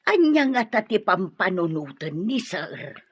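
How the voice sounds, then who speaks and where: Nosy older maid, raspy voice, very animated swings, Ilocano accent, radio drama. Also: female voice, fairly low pitch, measured pace, no echo, dull audio, full character voice. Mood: puzzled, curious. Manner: exclamatory, inquisitive.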